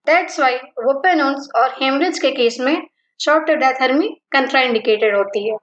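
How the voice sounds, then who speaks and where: A woman teaching physiotherapy, slowly listing points and mixing Hindi with terms. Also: sits high, roomy sound, poor audio. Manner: mixes Hindi and English terms, list-like delivery. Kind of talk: slow, deliberate lecture reading.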